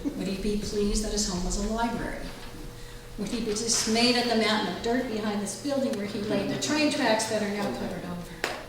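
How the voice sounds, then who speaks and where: A woman's voice: mid-range voice, brisk. A woman host introducing a show to a live audience, with a tongue-in-cheek manner. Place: indoor library hall with audience. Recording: very echoey room, distant mic, clear noise.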